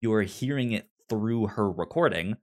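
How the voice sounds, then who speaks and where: Guy w a stutter, lowish voice, halting casual podcast review chat. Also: lively intonation, darkish tone. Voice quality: stutter, repeating sounds and words. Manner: halting, informal, frequent "like". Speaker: podcast co-host reviewing audio dramas.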